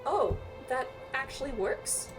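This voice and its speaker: A woman with a fairly high voice reads game dialogue aloud in a casual playthrough. Her delivery is halting and conversational, mixed with her own reactions. Game music plays clearly behind her. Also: big pitch swings. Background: video game music.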